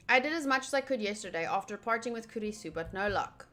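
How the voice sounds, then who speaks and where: A woman's voice: mid-range voice, fairly bright tone. A woman livestreaming a visual novel playthrough, reading game text aloud and adding playful, chatty asides. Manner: playful, chatty, self-interrupting. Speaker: streamer playing a visual novel.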